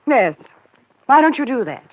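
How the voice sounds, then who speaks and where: A woman's voice: high voice, big pitch swings, moderate pace, no echo. An actress playing a haughty, indignant woman suspect in a 1950s radio crime drama. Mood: defiant. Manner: indignant, curt denials. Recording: dry, narrow-band vintage radio recording.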